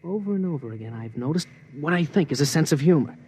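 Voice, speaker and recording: A man's voice: a little high, lively intonation, fast talker, a little mellow, slightly rough. A man playing a thoughtful, deferential officer in an old radio play. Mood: earnest. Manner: earnest, deferential. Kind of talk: 1950s radio drama acting. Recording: old radio broadcast with faint hiss.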